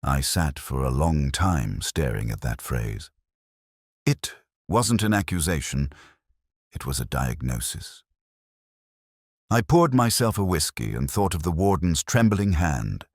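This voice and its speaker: A man narrating a mystery in the first person as a weary, reflective detective, in a measured, literary, brooding manner. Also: extremely low pitch, dry recording, clean studio sound. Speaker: narrator of a detective audio drama.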